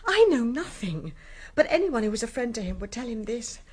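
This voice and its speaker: Woman acting high, husky distressed young maid. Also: big pitch swings, fairly quick. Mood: worried, guarded. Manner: hushed, pleading, respectful. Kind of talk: radio drama acting.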